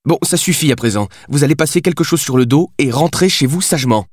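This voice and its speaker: A man's voice: on the high side, animated, quick pace, darkish tone, slightly rough, dry recording, studio quality, voice pushed up. A man voicing a brash, sassy, foul-mouthed young woman in an audiobook, with a cheeky, insistent way of talking. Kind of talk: audiobook character dialogue.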